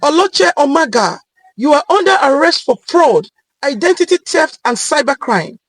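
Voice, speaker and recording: A woman narrator acts a stern police officer in a dramatic tale. The orders are formal and forceful, pitched well up, in a dry recording. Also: very animated, moderate pace, no room sound. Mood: commanding. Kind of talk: dramatic storybook dialogue.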